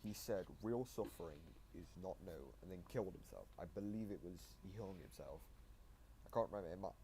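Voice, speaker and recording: A man giving casual reaction commentary, explaining game lore in a halting, repetitive way. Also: deep voice, expressive, warm tone, slight background noise, poor audio. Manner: explanatory, halting, repeats himself.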